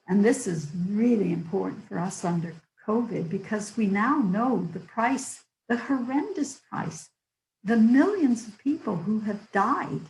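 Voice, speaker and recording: Hoarse, gravelly older woman lecturing. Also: mid-range voice, a little mellow, echoey room, rough recording. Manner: earnest, storytelling, with fillers. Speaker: guest lecturer. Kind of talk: online public lecture.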